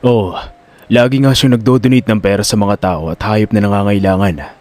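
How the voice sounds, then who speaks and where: A man playing a calm, serious male confidant in a Filipino audio drama, speaking in a measured, reassuring way. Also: fairly low pitch, pitched below the performer's own voice, close to an everyday voice. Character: Rocky, calm serious confidant guarding an old don.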